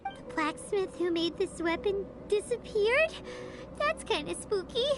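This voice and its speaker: Squeaky, chatty little girl companion. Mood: curious. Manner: squeaky, lively, quick questions. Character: tiny chatty girl companion, curious and blunt. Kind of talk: video game voice acting. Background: game music.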